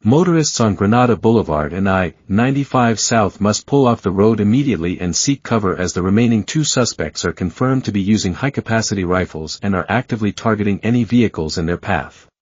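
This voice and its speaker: A man reading a scripted emergency alert bulletin in a formal, even, measured way. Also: lowish voice, slightly rough, dry recording. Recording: dull lo-fi dry broadcast audio.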